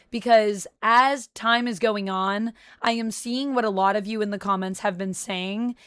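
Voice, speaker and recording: A woman's voice: a little high, a bit bright, clean tone, no echo, studio quality. A young woman hosting a casual review vlog, talking in a chatty, enthusiastic way while a little sick, her voice slightly off. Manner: chatty, enthusiastic fan talk. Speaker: channel host reviewing a show.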